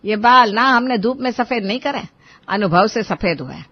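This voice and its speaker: A woman's voice: on the high side, expressive, moderate pace. A woman voicing a scolding middle-aged mother who nags her grown son with sarcasm, in a dull lo-fi recording. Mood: mocking.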